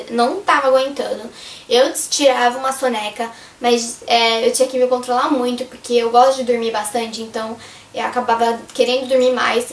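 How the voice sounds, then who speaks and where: A young Brazilian woman talks straight to her viewers in a loose, rambling vlog. Her voice is high and a little hoarse. She sits back from the mic, and the echoey room comes through around her. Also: far from the mic. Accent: Brazilian Portuguese. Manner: chatty, rambling, addresses viewers directly. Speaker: vlogger. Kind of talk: casual advice vlog.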